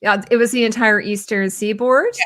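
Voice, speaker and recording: A woman's voice: on the high side, moderate pace, a bit bright. A woman co-hosting a casual livestream, chatting, telling a story and reading out viewer comments over a home microphone on a video call. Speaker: livestream co-host. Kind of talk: casual chat.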